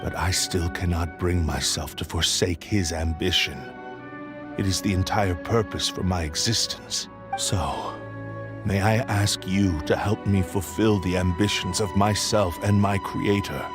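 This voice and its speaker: A man voicing a brooding, remorseful spirit of a cursed sword in a video game, speaking in a solemn, formal way. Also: low voice. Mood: resolute. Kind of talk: dramatic video game voice acting.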